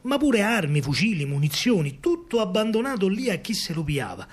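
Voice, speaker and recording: An actor playing an excitable working-class man with a Roman accent and a husky voice, recounting wartime scavenging in a colloquial theatrical monologue. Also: sits high, a little fast, heavily acted. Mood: vivid, suspenseful. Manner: animated storytelling, colloquial.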